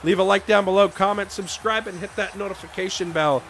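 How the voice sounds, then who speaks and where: A man losing his voice, shouting excited play-by-play for a wrestling video game. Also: high voice, brisk. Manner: shouting excitedly over the action. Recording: game crowd noise behind voice. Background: video game arena crowd and sound effects.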